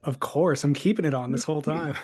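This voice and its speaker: A man's voice: a little high, fairly quick, a little mellow, slightly rough. A man hosting a surf podcast, chatting casually and easygoing over a video call. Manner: easygoing, conversational with fillers. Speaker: podcast host. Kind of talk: casual chat.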